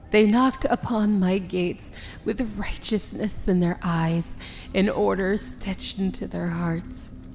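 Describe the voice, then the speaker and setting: A woman playing a proud, wry yet tender princess of evil in an intimate ASMR fantasy roleplay, with a theatrical, hushed delivery. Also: on the low side, a little hiss or hum. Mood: bitter, proud. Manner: theatrical, deliberate, often dropping to a hushed whisper.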